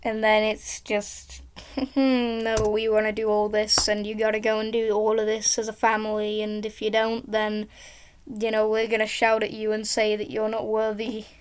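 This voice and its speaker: A woman with a high voice, rambling casually and drifting between topics while commenting on a game she is playing. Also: completely flat delivery, moderate pace, clean tone. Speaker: gaming YouTuber.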